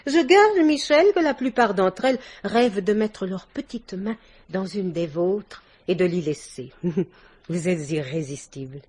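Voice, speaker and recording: A woman's voice: mid-range voice, animated, unhurried, a little mellow, breathy edge. An actress playing a worldly, domineering older society woman in a vintage French radio drama, theatrical and effusive with little laughs. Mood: mannered, charming.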